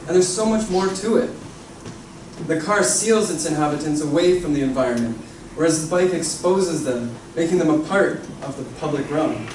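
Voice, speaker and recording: A man's voice: a little high, moderate pace. Man presenting in a noisy, echoey hall. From Canada. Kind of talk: short scripted talk. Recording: echoey hall, mic at a distance, background noise.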